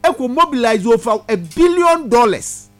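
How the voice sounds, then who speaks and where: A man's voice: extremely high pitch, very animated, normal speed, a bit bright, no echo, soft background music. A man with a Ghanaian accent explaining economic matters on a radio show, analytical, mixing Twi and English terms.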